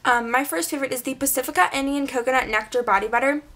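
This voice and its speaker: A woman's voice: high voice, fast, a bit bright. Give me a chatty young woman with a fading, congested voice. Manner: chatty, rambling, filler-heavy. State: losing her voice, congested. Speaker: beauty vlogger. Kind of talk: casual product review. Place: small bedroom, indoors. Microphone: camera mic at close range.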